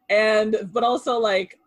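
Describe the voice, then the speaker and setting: A woman's voice: on the high side, no echo. A woman in her thirties, raised in Japan, chatting casually as an interview guest over a video call, with lots of fillers and easy laughs.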